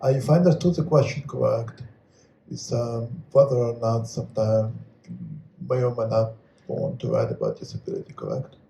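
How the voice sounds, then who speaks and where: A man answering on a live panel, musing aloud with rhetorical questions and animated asides. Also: mid-range voice, normal speed, vocal fry, slight background noise. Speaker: panelist poet. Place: venue hall at a live reading. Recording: echoey hall.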